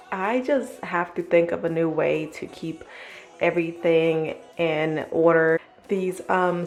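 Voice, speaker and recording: Husky low-voiced woman vlogger. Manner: rambling, chatty, with fillers and laughs. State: husky from a cold. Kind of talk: casual chat to camera.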